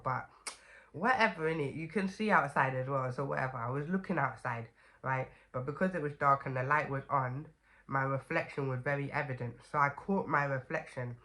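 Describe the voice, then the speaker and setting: A woman's voice: very deep voice, fairly quick, fairly bright tone. Stuffy-nosed young woman, chatty. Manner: chatty, rambling, many fillers and "okay?" asides. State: stuffy, congested from a cold. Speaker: vlogger. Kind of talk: casual storytelling to camera.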